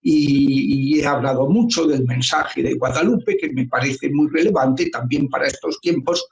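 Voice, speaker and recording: A man in his seventies, a priest, giving earnest, measured explanations in an interview. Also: mid-range voice, vocal fry. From Spain. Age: 70s. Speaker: priest and guest. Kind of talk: interview answers. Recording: echoey room.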